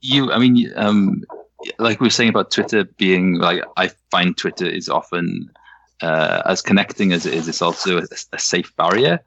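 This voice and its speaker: A man with a Welsh accent softened toward English, talking casually as a novelist guest, hesitant with many fillers and restarts. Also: deep voice, moderate pace, vocal fry, dull audio, a little hiss or hum. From Wales. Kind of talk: casual conversation.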